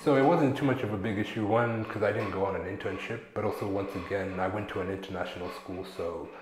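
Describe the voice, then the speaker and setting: A young man from Zimbabwe talks through his kitchen career in a relaxed, slangy interview. His voice sits low and deep. A lapel mic picks him up in a small studio that echoes. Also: fast talker. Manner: relaxed, slangy, acts out coworkers' lines. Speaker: chef, interview guest. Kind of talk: casual interview talk. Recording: echoey room.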